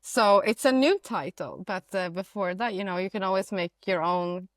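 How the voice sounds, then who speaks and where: A woman's voice: mid-range voice, moderate pace, dry recording, clean studio sound. Chatty Swedish-raised woman guest. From Sweden. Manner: chatty, many fillers, laughs easily. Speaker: marketing director, guest. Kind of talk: casual interview chat.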